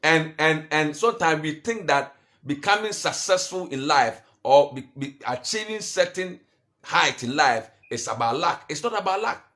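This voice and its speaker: Man coaching with halting, repeated restarts. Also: animated, a bit bright. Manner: repetitive, halting restarts, rhetorical questions; rises in pitch and force when urging. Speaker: finance coach. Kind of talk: motivational talk to camera.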